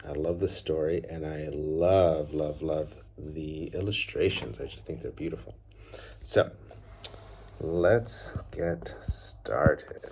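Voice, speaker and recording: A man reads a storybook aloud to children, with measured, deliberate phrasing that often trails off softly at the ends of lines. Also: a bass voice, noisy background.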